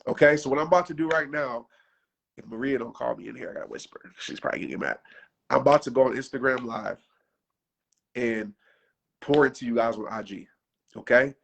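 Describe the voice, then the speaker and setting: A man's voice: medium pitch, brisk, gravelly, long pauses, highs cut off. A man in his thirties giving an unscripted motivational talk on a livestream, casual and passionate, with his voice wearing out. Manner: passionate, preachy, casual slang. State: losing his voice.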